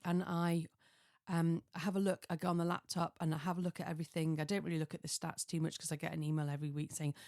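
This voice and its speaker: A slightly hoarse woman chatting casually and solo as a podcast host, rambling and self-deprecating, often wandering off on tangents. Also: low voice, a little mellow, dry recording, clean studio sound. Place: small home office.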